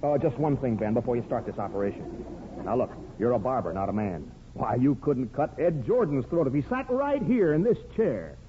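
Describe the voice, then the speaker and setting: An actor playing a blunt, loyal small-town friend in a 1950s radio drama, with an emphatic, urging way of talking. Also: male voice, medium pitch, very animated, normal speed, natural voice. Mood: blunt, teasing. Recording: muffled, noisy old radio broadcast.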